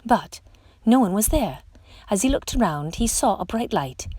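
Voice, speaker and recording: A woman reading a picture book aloud to children in an expressive, dramatic storytelling style. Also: medium pitch, normal speed, breathy edge, no room sound.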